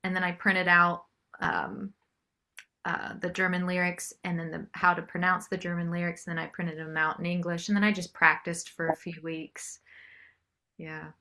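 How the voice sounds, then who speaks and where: A woman's voice: lowish voice, flat delivery, moderate pace, bright, forceful tone. A middle-aged American woman chatting casually as a singer-songwriter being interviewed.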